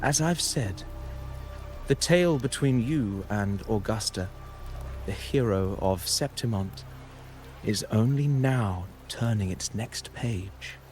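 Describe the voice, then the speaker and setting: Slow, wistful wandering poet. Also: male voice, on the low side, animated, darkish tone, soft background music. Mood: calm, cryptic. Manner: measured, lyrical, formal, deliberate pauses. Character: calm male wandering poet, wistful enigmatic observer. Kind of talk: dramatic video game dialogue, poetic narration.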